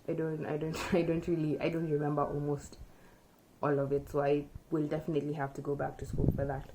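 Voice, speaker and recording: A young woman vlogger from Kenya answering tag questions in relaxed, chatty talk, often thinking aloud, recorded by a camera in front of her in a small room. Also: sits low, slight background noise, low-quality recording. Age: young adult. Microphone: camera in front at close range.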